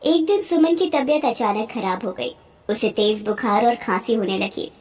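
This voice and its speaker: A woman's voice: on the high side, animated, moderate pace, darkish tone. A woman storyteller reading scripted narration for a cartoon story.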